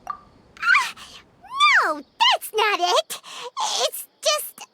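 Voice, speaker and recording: An actress playing a small, excitable childlike fairy companion in a video game, chatty and eager, with a squeaky voice. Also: female voice, very slow, very noisy, full character voice. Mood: flustered. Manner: bubbly, refers to herself by name. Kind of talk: animated video game voice acting. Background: music.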